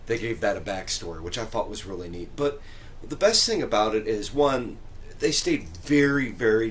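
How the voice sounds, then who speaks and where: A man in a casual group discussion who makes his points deliberately and checks that others agree. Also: on the low side, slight background noise. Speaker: podcast panelist.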